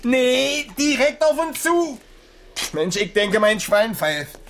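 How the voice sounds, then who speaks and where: An actor playing a shaken middle-aged long-haul trucker in a radio crime drama, speaking colloquial with a tinge, agitated and exclamatory. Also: male voice, very high voice, sing-song, slow pace, fairly bright tone, heavily acted. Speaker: truck driver. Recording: noisy 1980s radio play recording.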